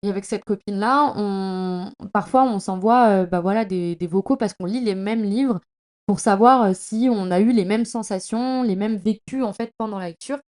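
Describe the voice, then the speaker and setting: Young woman, soft mellow tone, casual podcast monologue, slangy, effusive, stumbles over words. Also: mid-range voice, moderate pace. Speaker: podcast host. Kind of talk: casual, chatty monologue about reading.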